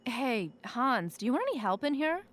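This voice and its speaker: A woman's voice: on the high side, very animated, normal speed, slight background noise. An actress playing a blunt, flippant woman on the run in an audio drama, with a breezy, sardonic, casual delivery. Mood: curious, casual.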